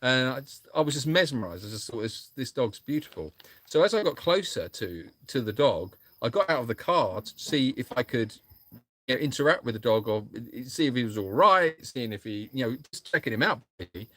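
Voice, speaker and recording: Bright-voiced man telling a rambling story. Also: medium pitch, big pitch swings, fairly quick. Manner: rambling anecdotal storytelling with restarts; chatty, thanks viewers by name. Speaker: sanctuary co-host. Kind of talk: casual livestream chat.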